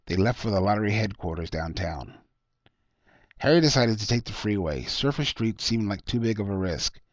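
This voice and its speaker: A man's voice: lowish voice, animated, moderate pace, no room sound. A man reading his own short story aloud as scripted narration. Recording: dull lo-fi close recording.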